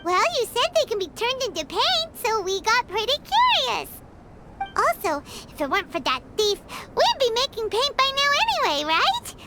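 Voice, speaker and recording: A woman voicing a small, chirpy, childlike fairy companion in a video game, squeaky and chatty. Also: normal speed, very noisy. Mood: chatty, curious. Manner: excitable, chatty, exclamatory.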